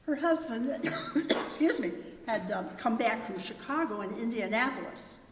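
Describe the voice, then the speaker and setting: A woman's voice: on the high side, animated, normal speed, noisy background. A woman narrating a scripted third-person story aloud in a readers theater performance. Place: large reverberant hall. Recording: echoey hall, distant microphone.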